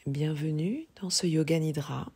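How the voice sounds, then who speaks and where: A woman yoga teacher leading a guided relaxation, speaking calmly and in a measured way with long pauses. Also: sits low, husky. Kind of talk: guided yoga nidra meditation.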